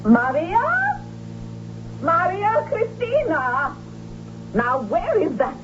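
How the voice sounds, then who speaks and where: An imperious, doting archduchess calls out in a high, very slow, drawn-out singsong. It sounds like an old, slightly dull radio drama. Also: female voice, huge pitch swings, a bit bright, slight background noise, full character voice. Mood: gushing, calling. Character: imperious, doting archduchess mother calling her daughter. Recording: dull vintage radio broadcast, faint music.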